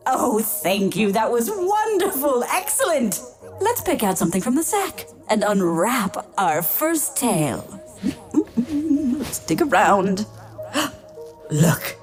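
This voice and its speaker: A woman's voice: fairly high pitch, a little mellow. A woman voicing a sweet, genteel ghostly nanny who hosts a spooky storytelling show for young children in a theatrical, coaxing manner. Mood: playful, doting.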